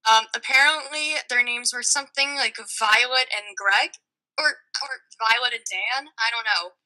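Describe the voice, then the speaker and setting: A young adult woman telling a casual personal story in an informal, halting way. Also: fairly high pitch, bright, forceful tone, rough recording. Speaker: podcast guest.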